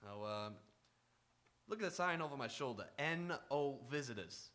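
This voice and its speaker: A man's voice: medium pitch, lively intonation, normal speed, sharp tone. A man playing a folksy, talkative, evasive hotel clerk in a radio drama, rambling through his replies. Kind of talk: old-time radio drama acting.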